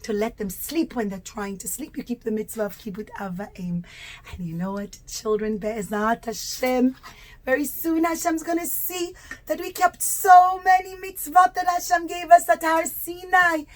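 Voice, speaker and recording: A woman with a high voice speaks as a storyteller and religious teacher for young children. She keeps her wording simple and her delivery expressive, leaning on key words and stretching them out. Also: moderate pace.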